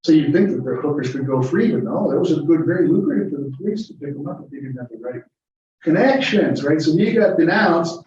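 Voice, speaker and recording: A man's voice: on the high side, animated, fairly quick, a little mellow, a little hiss or hum. A man giving a lecture, answering audience questions in a chatty, digressive way with chuckles. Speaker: guest lecturer. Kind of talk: informal Q&A with audience. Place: lecture room. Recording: echoey, muffled room from a distance.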